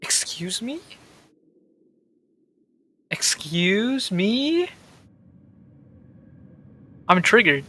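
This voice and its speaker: A man's voice: sits high, sing-song, very slow, long pauses, bright, forceful tone. A young man doing casual gameplay commentary, reacting with incredulous, blunt exclamations. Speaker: video game streamer.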